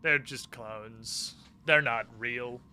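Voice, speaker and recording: A man's voice: medium pitch, drawn-out words, pushed and bright, clean tone, soft background music, voice pushed down, exaggerated voice. A man voicing a smug, flippant former military villain turned uneasy ally, paraphrasing game dialogue in a casual, mocking way. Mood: breezy explaining.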